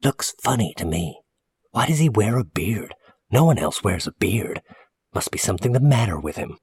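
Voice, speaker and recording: A man voicing a nosy, suspicious small-town woman in a hushed, gossipy, folksy way for a story. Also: fairly low pitch, very animated, moderate pace, slightly rough, no echo, studio quality, voice pushed up, heavily acted. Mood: suspicious, prying.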